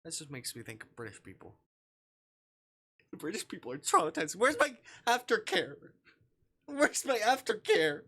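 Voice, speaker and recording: Guy streamer playing a visual novel, super animated voice, bright, jokes around while reading stuff out. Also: high voice, normal speed, long pauses. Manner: playful, jokey, expressive. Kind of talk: livestream chat mixed with reading game text aloud.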